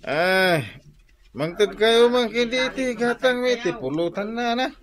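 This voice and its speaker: An actor playing a lazy, boozy, wheedling son-in-law in a radio drama, with an Ilocano accent. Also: male voice, extremely high pitch, lively intonation, a little slow, fairly bright tone, heavily acted.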